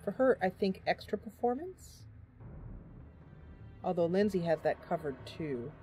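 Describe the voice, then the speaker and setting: A woman's voice: fairly low pitch, lively intonation, unhurried, warm tone, faint music behind. A woman hosting a game stream, giving casual live commentary and thinking aloud through her choices as she chats to viewers. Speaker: game streamer, host of the channel.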